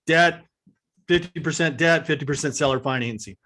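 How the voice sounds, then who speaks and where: A man's voice: on the high side, normal speed, pushed and bright. A man answering questions as host in a casual, conversational way, explaining things through anecdotes. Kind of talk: casual question-and-answer talk on a video call.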